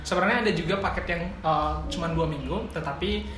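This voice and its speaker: A man from North Maluku, an admin staff member, explaining enrollment in an unhurried, informative way. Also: a little high, roomy sound, music in the background. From North Maluku, Indonesia.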